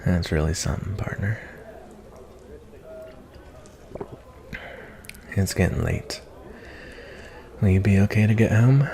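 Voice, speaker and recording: A man playing a gentle, reflective cowboy bounty hunter in a softly spoken fantasy ASMR roleplay, talking in a folksy, kindly, rambling way. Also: exaggerated voice. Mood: warm, admiring. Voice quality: low, gravelly.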